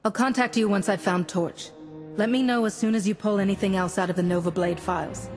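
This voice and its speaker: A woman voicing a composed, courteous Jedi diplomat in a video game, measured and formal. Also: mid-range voice, fairly even intonation, natural voice. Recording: loud music under the voice. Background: cinematic game music.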